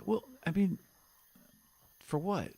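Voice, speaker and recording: A man's voice: high-pitched, takes it slowly, warm tone, breathy edge, pitched above the performer's own voice, exaggerated voice. A man voicing a friendly, easily bored human guard in a tabletop game, casual and coaxing.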